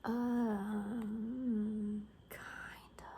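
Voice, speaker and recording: A woman playing a gossipy, rambling zombie apocalypse survivor in a soft-spoken, whispery ASMR roleplay, talking hesitantly and conspiratorially. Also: mid-range voice, little pitch movement, drawn-out words, a little hiss or hum. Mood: rambling, distracted.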